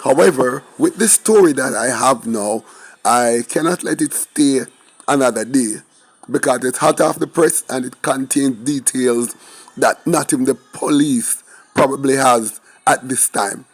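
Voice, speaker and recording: A man hosting his own channel, hoarse and raspy from the flu, going over a crime story in a halting, self-correcting way. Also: mid-range voice. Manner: slow, halting delivery with restarts and fillers. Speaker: channel host. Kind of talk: crime news commentary.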